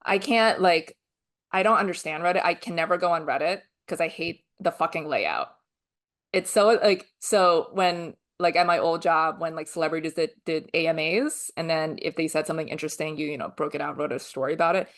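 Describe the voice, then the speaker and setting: Woman, low-pitched voice, podcast co-host, blunt, swears casually, filler-heavy talk. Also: fairly quick, fairly bright tone.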